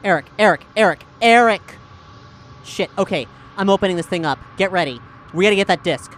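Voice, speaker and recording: A man's voice: high voice, brisk, fairly bright tone, a little hiss or hum. A man playing a tabletop role-playing game, talking casually in character and thinking aloud with hesitant repeats. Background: music.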